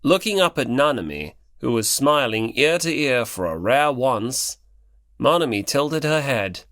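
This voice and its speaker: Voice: mid-range voice, very animated, unhurried, a bit bright, no room sound, studio quality. An audiobook narrator reading scripted fiction in the third person.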